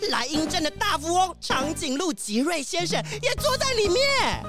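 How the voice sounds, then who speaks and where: Very high, naive youngster. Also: female voice, very animated, normal speed, loud music behind the voice. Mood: astonished. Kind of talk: children's radio drama acting.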